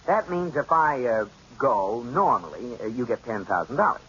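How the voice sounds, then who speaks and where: Lively bright-voiced husband played by an actor, teasing and patient, muffled old radio. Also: male voice, medium pitch, normal speed, lightly acted. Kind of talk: 1940s radio comedy acting. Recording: dull old radio broadcast with hiss.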